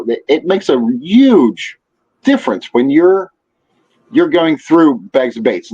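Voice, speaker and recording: A man's voice: medium pitch, a little mellow, breathy edge. A man chatting casually as a podcast guest, giving rambling advice peppered with "you know". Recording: dull, lo-fi audio.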